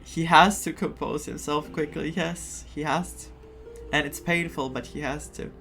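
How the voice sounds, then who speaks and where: A game streamer giving casual, excitable reactions during a playthrough, often repeating phrases. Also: mid-range voice, moderate pace. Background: game music.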